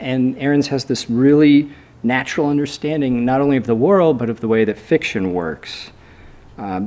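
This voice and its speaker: Mid-pitched man giving an introduction. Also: a little mellow. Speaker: introducer of a guest author. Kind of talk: prepared introductory speech with casual asides. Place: wood-panelled lecture room, at a lectern. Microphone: stand microphones at a lectern.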